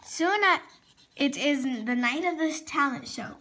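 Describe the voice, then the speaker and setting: A very high, bright female voice reads a children's storybook aloud. Her delivery is expressive, with words sometimes stumbled over and then corrected. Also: a little slow. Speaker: storybook reader.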